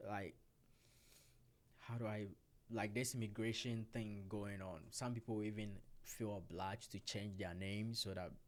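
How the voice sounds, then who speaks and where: Man co-hosting a podcast, fairly low voice, candid storyteller, casual talk. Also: steady tone, measured pace. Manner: storytelling, candid, asks questions, laughs easily.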